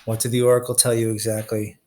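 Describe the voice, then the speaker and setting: A man voicing an earnest, quick-thinking teenage boy hero in a storybook, speaking plainly and urgently. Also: on the low side, level tone, warm tone, lightly acted. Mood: firm.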